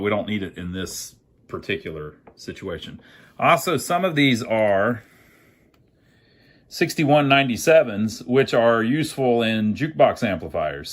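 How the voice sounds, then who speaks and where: A man narrating a hands-on repair video in a casual, folksy way, thinking aloud as he works. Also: on the low side. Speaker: host of an amateur electronics repair channel.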